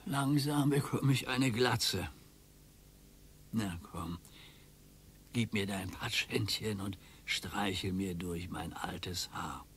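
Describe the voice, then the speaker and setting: A man's voice: fairly low pitch, slow pace, a bit bright, a little hiss or hum. An older man playing a needy, sentimental retired husband in a radio play, plaintive and nostalgic. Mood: tender, nostalgic.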